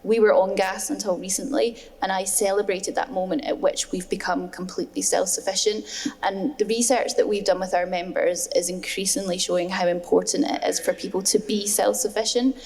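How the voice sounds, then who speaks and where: A woman's voice: medium pitch, level tone, fairly quick, darkish tone. A woman speaking as a panelist at a design festival discussion, earnest and emphatic, often repeating words for stress. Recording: long hall reverb.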